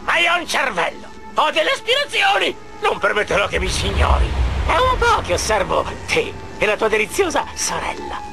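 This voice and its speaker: A man's voice: extremely high pitch, wildly swooping pitch, moderate pace, vocal fry, over music, full character voice. A man voicing a boastful, childish half-robot villain minion in Italian game cutscenes, theatrical and frantic. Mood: indignant. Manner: theatrical, frantic, self-important. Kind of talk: animated game cutscene acting.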